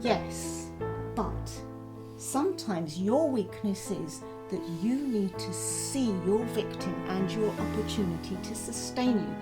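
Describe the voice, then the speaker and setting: An actress playing a fierce, patient, vengeful revolutionary wife in a theatrical period drama, speaking with deliberate, commanding rhetoric. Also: female voice, medium pitch, animated. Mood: steely conviction. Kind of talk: dramatised classic novel, theatrical acting. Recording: loud guitar music behind.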